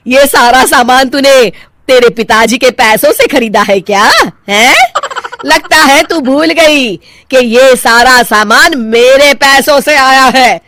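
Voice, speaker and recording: Cartoon mother-in-law, older, sarcastic and commanding, unhurried delivery, dry close recording. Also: sits high, a bit bright, dry recording. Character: bossy, sharp-tongued older mother-in-law twin, scolding. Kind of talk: Hindi cartoon voice acting.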